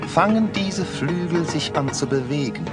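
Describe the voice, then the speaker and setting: A boastful, menacing swindler in an audio drama. His voice sits fairly high and swoops widely, with smug, theatrical relish. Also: expressive, measured pace, loud music behind the voice. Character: scheming, boastful male villain, a kidnapper and swindler. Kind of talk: German audio play acting.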